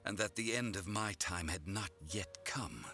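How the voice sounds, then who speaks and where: Deep, solemn ancient god. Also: male voice, bright, forceful tone, soft background music. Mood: reflective. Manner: formal, measured, archaic. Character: ancient male god, solemn, composed and dignified. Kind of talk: video game cutscene acting.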